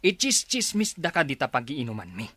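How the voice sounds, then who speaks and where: A woman's voice: a bass voice, sing-song, brisk, a bit bright, breathy edge, no echo, exaggerated voice. A woman playing a tipsy, mocking villager in an Ilocano radio comedy, talking in a slurred, teasing way. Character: tipsy, mocking villager who drinks and teases.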